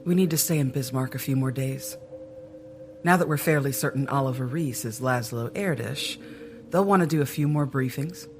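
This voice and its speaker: A man's voice: mid-range voice, normal speed, soft background music, lightly acted. An actor playing a resolute, guarded male government agent in an audio drama, firm and businesslike.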